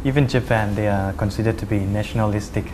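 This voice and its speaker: A man's voice: fairly low pitch, steady tone, fast, noisy background. A man interviewing a guest, adding short follow-up prompts.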